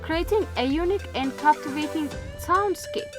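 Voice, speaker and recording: A woman with a high voice reads a news feature, steady and formal. Clear music plays underneath her narration. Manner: measured, formal. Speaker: news reporter.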